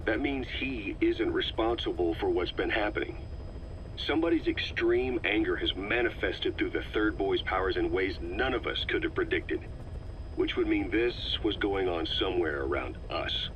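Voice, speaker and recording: An actor playing a calm, middle-aged male agent who methodically explains a conspiracy to the listener on a cassette tape. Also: low-pitched, slight background noise, natural voice. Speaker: intelligence briefer on a cassette tape. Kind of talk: scripted game voice acting. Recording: slightly muffled cassette tape recording.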